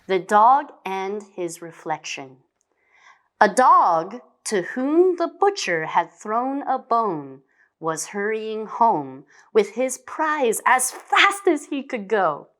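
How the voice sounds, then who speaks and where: A woman reading scripted fables as a storybook narrator, expressive, dramatic and deliberate. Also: mid-range voice. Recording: long hall reverb.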